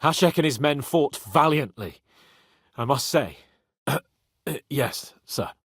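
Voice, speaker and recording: An actor playing a proud, hot-headed young medieval nobleman in a dramatic video game, with a haughty and expressive delivery. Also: mid-range voice, slow, slightly rough, no room sound, clean studio sound, heavily acted. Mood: earnest, resolute. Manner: haughty, expressive, swearing freely.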